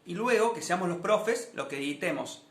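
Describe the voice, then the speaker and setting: A man explains as a teacher presenting to students. His voice is on the high side, recorded in an echoey room. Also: expressive, moderate pace, creaky, a bit bright. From Argentina. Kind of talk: instructional presentation.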